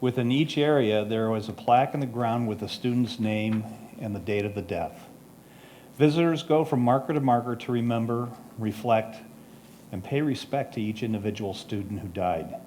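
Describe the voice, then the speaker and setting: A man's voice: on the low side, moderate pace, warm tone. Man reading captions, faint noise. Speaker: club member reading photo captions. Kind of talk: scripted narration read aloud.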